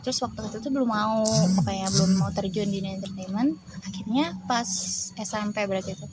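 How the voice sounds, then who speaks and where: A woman's voice: medium pitch, quick pace. Teen girl from west java chatting away in an interview, loose and rambly, hiss behind her. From West Java, Indonesia. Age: teens. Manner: chatty, loose, filler-heavy. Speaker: guest, teen pageant model. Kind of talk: casual interview chat.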